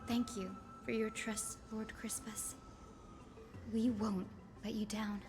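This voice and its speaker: An actress playing a young, gentle priestess and healer in a video game, speaking formally and earnestly. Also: female voice, mid-range voice, little pitch movement, slow, music in the background, lightly acted. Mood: grateful. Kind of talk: dramatic game voice acting.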